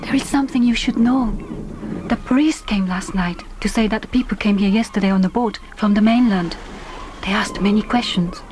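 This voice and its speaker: A woman playing a gentle, devoted young Japanese islander in a radio drama, earnest and formal in her phrasing. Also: mid-range voice, normal speed, noisy background, natural voice. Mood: grave, concerned. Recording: music bed under voice. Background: orchestral film score.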